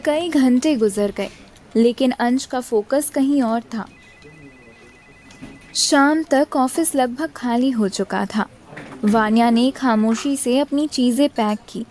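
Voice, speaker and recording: A woman's voice: on the high side, slow, a little mellow. A woman reading scripted narration for a romantic audio drama.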